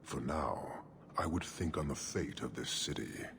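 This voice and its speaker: Casting: imposing foreign commander, bottomless creaky bass, cold, formal and measured, for a fantasy game. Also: male voice, lively intonation, a bit bright, slight background noise, heavily acted. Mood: cold disdain. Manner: terse, formal, measured, disdainful. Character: stern, imposing horned warrior commander of a foreign creed. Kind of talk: video game cutscene acting.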